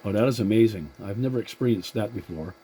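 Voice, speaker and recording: A man chatting casually as a CB radio operator, in a halting way that repeats words. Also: lowish voice, noisy background. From Atlantic Canada.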